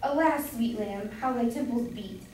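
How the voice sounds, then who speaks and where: A woman acts out a meddlesome, doting citizen's wife from an old stage comedy. She has a fairly high voice and fusses over everyone. It is heard from a distance in a very echoey room. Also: moderate pace, a little mellow, slight background noise, lightly acted. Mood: doting, fretful. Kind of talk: amateur staged reading of Jacobean comedy.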